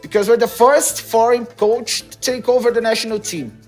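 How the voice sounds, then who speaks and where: A man's voice: very high voice, normal speed, soft background music. Young man, brazilian accent, playful host, jokey, laughs a lot, earphone mic. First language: Portuguese. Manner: joking and energetic, laughs often. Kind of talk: playful web show interview. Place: decorated room indoors.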